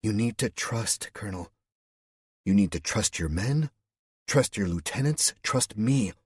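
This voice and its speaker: A man's voice: fairly low pitch, lively intonation, a little slow, husky, dry recording, clean studio sound, voice pushed up. A man voicing a firm, earnest female aide reassuring her commander.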